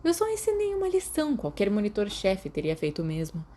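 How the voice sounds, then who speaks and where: A woman's voice: mid-range voice, wildly swooping pitch, brisk, dark tone, voice pushed up. A woman with a Brazilian accent voicing a cocky, protective teenage boy wizard in a brash, teasing manner. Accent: Brazilian Portuguese.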